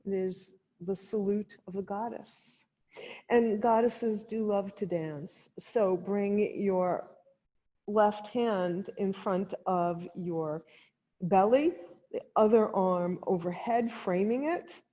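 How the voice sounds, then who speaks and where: Slow-paced woman yoga instructor, very echoey. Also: mid-range voice, mellow. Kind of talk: guided chair yoga instruction. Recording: dull sound in a long-reverb hall.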